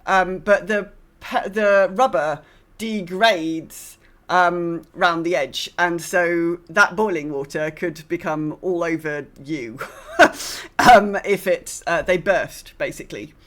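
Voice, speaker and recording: A woman's voice: on the low side, takes it slowly, a bit bright. Hesitant, chatty British woman podcaster. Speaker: podcast host. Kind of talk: casual chat.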